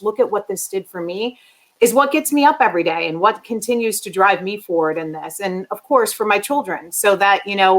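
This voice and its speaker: A woman's voice: medium pitch, a bit bright, poor audio. A woman talking as a conference panelist in a personal, conversational way, often adding "right?" to her points. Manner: conversational, frequent "you know" and "right?". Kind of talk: personal panel talk on diversity and business.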